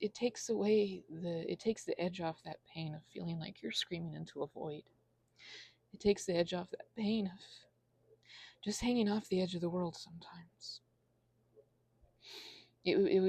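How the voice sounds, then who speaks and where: A woman in her thirties giving a rambling, confessional ASMR monologue in a halting, earnest, self-deprecating way, with a husky, creaky voice. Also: on the low side, mellow, no room sound. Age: 30s. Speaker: ASMR channel creator.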